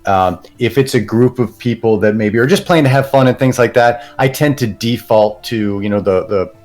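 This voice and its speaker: A man talking casually as a guest on a podcast, thoughtful and relaxed. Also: mid-range voice, moderate pace.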